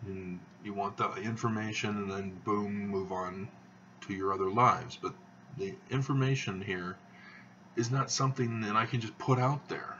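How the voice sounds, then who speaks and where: A man hosting his own video channel, talking in a slow, halting monotone with many fillers as he rambles. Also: fairly low pitch, recorded from a distance, highs cut off, slight background noise. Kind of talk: rambling monologue to camera.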